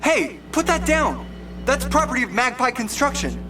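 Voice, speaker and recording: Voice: high-pitched, big pitch swings, moderate pace, recorded from a distance, over music. A performer playing an eager, earnest young male rookie police officer, easily flustered. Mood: alarmed. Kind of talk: dramatic audio-drama acting.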